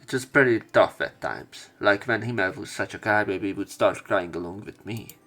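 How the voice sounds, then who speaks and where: A man's voice: on the low side, normal speed, fairly bright tone, faint music behind. A man reading visual novel narration aloud as a let's player, with casual commentary.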